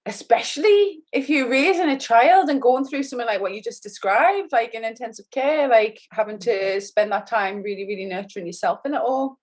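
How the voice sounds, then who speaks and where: A woman hosting a casual chat podcast, upbeat and eager, with quick asides to her guest and listeners. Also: fairly high pitch. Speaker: podcast host.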